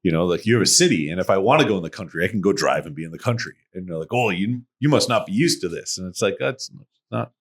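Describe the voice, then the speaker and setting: A man's voice: deep voice, animated, quick pace, few pauses. A man from Massachusetts co-hosting a podcast in a studio, telling a story in casual chat and swearing freely. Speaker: podcast co-host.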